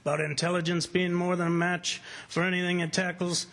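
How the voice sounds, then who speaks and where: A man's voice: high-pitched, flat delivery, fairly quick. A man playing a defiant, commanding plantation boss in an old-time radio drama, brusque and scornful as he gives orders. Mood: smug. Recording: live stage performance with loud background noise.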